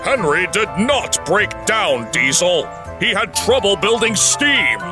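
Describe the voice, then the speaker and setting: A man's voice: high voice, very animated, takes it slowly, over music, exaggerated voice. A man voicing a haughty, indignant steam engine in a cartoon dub, with a pompous, disdainful manner. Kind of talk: cartoon-style character dub.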